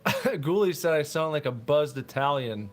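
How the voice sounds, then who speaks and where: A man's voice: a little high, animated, moderate pace. A man hosting a casual building stream, laid-back, telling stories and reading chat aloud. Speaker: streamer host.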